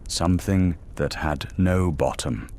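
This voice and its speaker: Super deep guy reading a sleep story real slow, soft and hushed, slips into whispers sometimes. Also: a little hiss or hum. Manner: soft, hushed, drawn-out phrasing with whispered words. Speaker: bedtime storyteller.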